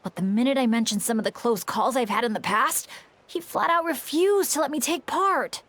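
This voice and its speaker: A woman's voice: sits high, normal speed, a bit bright, a little hiss or hum. A woman voicing an earnest, clumsy young male adventurer who keeps pleading to be allowed to help, in a video game. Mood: eager.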